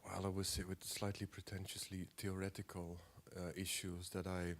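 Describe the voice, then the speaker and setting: A man in his forties with a cold, giving a halting lecture as an artist, clearing his throat often and filling pauses. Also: sits low, monotone, a little hiss or hum. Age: 40s. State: has a cold.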